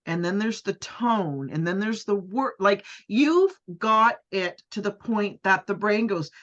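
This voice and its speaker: A woman's voice: medium pitch, measured pace. Middle-aged woman podcast host, lo-fi sound. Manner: animated, repeats words and restarts often. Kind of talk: casual interview chat.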